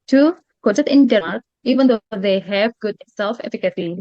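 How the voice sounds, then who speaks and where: A woman with an Indonesian accent reads a prepared academic presentation in a formal, careful manner, with some stumbles over long phrases. Her voice sits at an average pitch. The sound is dry and somewhat muffled, as in a lo-fi recording. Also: a little mellow, dry recording. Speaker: postgraduate research presenter. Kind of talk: scripted conference presentation, read aloud.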